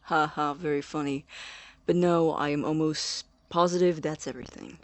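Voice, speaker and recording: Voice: mid-range voice, fairly even intonation, normal speed, no room sound, lightly acted. An actor playing an earnest, awkward young man in audio drama dialogue, speaking politely and hesitantly. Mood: amused.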